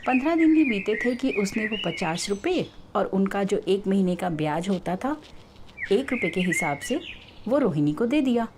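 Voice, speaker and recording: A woman's voice: medium pitch, animated, moderate pace, noisy background. A woman storyteller narrating a scripted tale.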